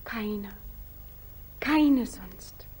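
An actress playing a distraught young adopted daughter, impassioned and pleading, in a 1940s German radio play. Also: female voice, mid-range voice, animated, a little mellow, slightly rough, heavily acted. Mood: stunned, hushed. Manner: impassioned, pleading, slow theatrical delivery. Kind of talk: 1940s German radio stage acting, declamatory. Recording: old 1940s radio drama recording.

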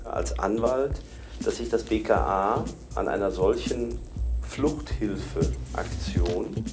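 A man's voice: fairly low pitch, animated, slow, music in the background. A man interviewing, asking probing, pointed questions.

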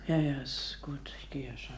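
An actress in a German radio play as a practical housekeeper, with a solicitous, homely manner. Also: female voice, very deep voice, fairly even intonation, moderate pace, reverberant, slight background noise, poor audio. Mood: caring, fussing. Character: caring, practical housekeeper looking after a troubled family. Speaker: radio drama actor.

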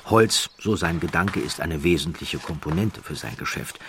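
A man reading a scripted documentary narration in a measured, deliberate way with dramatic emphasis. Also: deep voice, a bit bright, a little hiss or hum.

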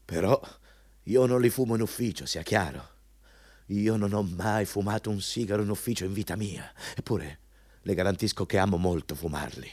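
An actor playing a domineering, hypocritical company boss in a theatrical Italian radio drama, pompous and mocking in manner. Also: male voice, lowish voice, moderate pace, darkish tone, natural voice. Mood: stern, preachy. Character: domineering, hypocritical male company boss interviewing a job applicant.

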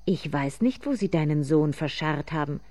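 Half-mad widowed mother played by a woman narrator: bitter and brittle, defiant, low-voiced, for radio drama. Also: lively intonation, moderate pace, warm tone.

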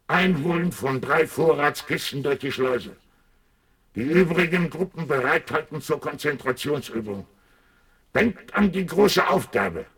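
An actor playing a mysterious, solemn underground commander giving impersonal, measured orders in a husky, blurred voice, for a German science fiction radio drama. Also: male voice, fairly high pitch, fairly bright tone, exaggerated voice.

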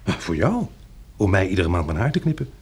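An actor playing a calm, practical survivor in a radio drama, reasoning in a measured way and soothing like a tender protector. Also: male voice, deep voice, husky, full character voice. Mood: matter-of-fact.

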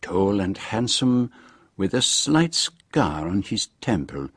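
An actor playing a shrewd, courteous foreign gentleman detective in a radio drama, speaking formally with precise, dry wit. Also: on the low side, big pitch swings, slow pace, slightly rough, heavily acted. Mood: dryly observant. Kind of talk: radio drama dialogue and narration.

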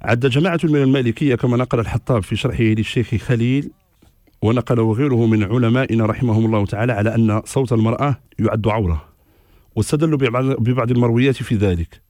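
A man delivers religious rulings on a radio show, quick and authoritative, quoting texts one after another. His voice is dry and close to the mic. He sounds sure and steady throughout. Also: mid-range voice, no room sound. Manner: measured, authoritative, cites scripture and scholars. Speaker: religious scholar answering questions.

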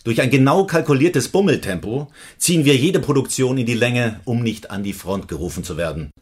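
An actor in a German radio drama playing a brash, cynical screenwriter who reports in clipped phrases. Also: male voice, medium pitch, lively intonation, fairly quick, slightly rough. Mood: narrating, emphatic.

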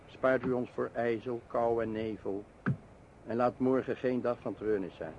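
An actor playing a devout, cautious mountain guide in a radio play, speaking in an earnest, formal way. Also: male voice, medium pitch, fairly even intonation, measured pace, a little mellow, close to an everyday voice. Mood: humble, prayerful. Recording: muffled noisy old radio recording.

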